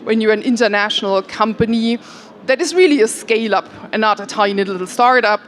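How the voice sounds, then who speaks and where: A woman's voice: fairly high pitch, brisk, slight background noise. A woman from Germany who is an accelerator CEO, speaking conversationally on a conference panel with frequent fillers. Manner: conversational, many "um"s and "like"s. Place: conference hall.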